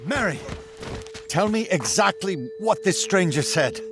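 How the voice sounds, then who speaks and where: An actor playing Peter, an impulsive, anxious disciple who speaks with agitated emphasis. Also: male voice, sits high, animated, a little slow, gravelly, heavily acted. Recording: music score behind.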